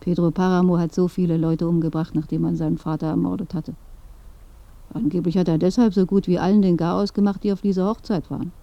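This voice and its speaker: A woman's voice: on the low side, fairly even intonation, quick pace, no echo, lo-fi sound, lightly acted. An actress playing a dead old woman in a radio play, chatty, knowing and inquisitive. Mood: knowing, confiding. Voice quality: dark, creaky. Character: dead old woman, curious gossip in a grave. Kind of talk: radio drama dialogue.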